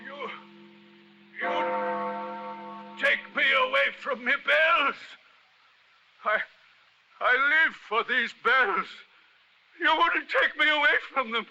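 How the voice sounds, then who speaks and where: An actor playing a mad, gloating village bell-ringer in an old radio mystery. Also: male voice, extremely high pitch, expressive, gravelly, bright, forceful tone, exaggerated voice. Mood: agitated, defiant. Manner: slow, gloating rustic speech. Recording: muffled old radio broadcast, music underneath.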